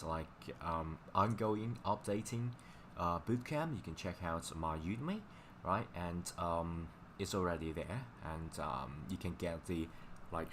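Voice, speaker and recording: A man with a low-pitched voice and Chinese as his mother tongue talks as a language teacher on a livestream. His delivery is casual and halting, often circling back over the same words. Clear background noise runs through the recording. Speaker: language teacher live-streamer. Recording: clearly noisy livestream audio.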